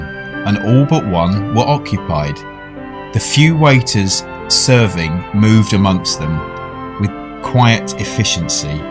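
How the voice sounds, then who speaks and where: A man playing an urbane food lover, narrating a radio tale in a measured, wry manner. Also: lowish voice. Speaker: narrator and actor in a radio play. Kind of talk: dramatised first-person storytelling.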